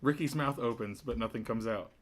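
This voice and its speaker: A man's voice: mid-range voice, fairly quick. A man narrating the stage directions of a script at a sitcom table read.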